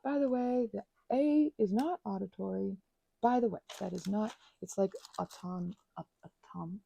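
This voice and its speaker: A woman rambles casually at her craft table, losing her thread and restarting often. Her voice is husky and gravelly with a mellow, dark tone. The close-miked recording sounds dry. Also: medium pitch, no room sound, low-quality recording. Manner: rambling, chatty, many fillers and restarts. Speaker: ASMR and audio creator.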